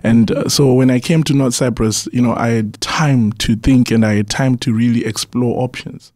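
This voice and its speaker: A man's voice: mid-range voice, steady tone, normal speed, darkish tone, dry recording, studio quality. A young man from Zimbabwe, a musician guest on a radio interview, answering earnestly and often restarting his words. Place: radio studio.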